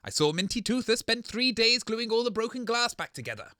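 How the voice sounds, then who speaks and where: A man's voice: very high-pitched, very animated, brisk, bright, forceful tone, no room sound, clean studio sound, full character voice. A man with a British accent playing a grumpy, unrepentant, self-righteous radio co-host in scripted comedy, stubborn and dryly sarcastic. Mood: indignant, unrepentant.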